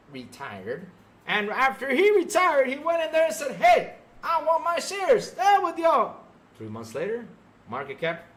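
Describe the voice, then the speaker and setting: A man's voice: very high voice, a bit bright. A middle-aged man hosting a podcast, ranting about gaming to a live chat in an emphatic, sarcastic way. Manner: emphatic, sarcastic, mimics fans mockingly. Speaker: podcast host.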